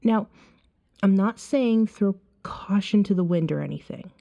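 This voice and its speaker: A woman playing a calm, seductive vampire in an ASMR roleplay, soothing and hypnotic, often slipping into a whisper. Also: medium pitch, unhurried, vocal fry, lo-fi sound. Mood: frank, curious.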